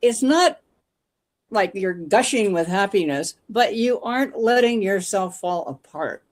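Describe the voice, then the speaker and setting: A woman's voice: medium pitch, animated. Earnest woman in her eighties. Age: 80s. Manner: earnest, measured, slows down when serious. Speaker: panel guest. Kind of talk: casual panel conversation.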